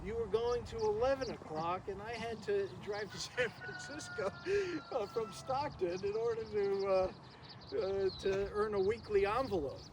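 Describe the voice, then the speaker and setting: High-voiced older man, noisy outdoor recording. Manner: halting, rambling delivery with frequent pauses. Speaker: speaker giving a memorial tribute. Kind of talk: informal reminiscing speech to a gathering. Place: outdoors in a garden. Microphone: distant camera microphone, no working PA.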